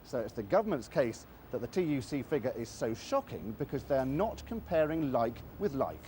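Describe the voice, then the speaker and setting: A man's voice: medium pitch, big pitch swings, moderate pace, mellow, slight background noise. A man narrating a scripted television news report.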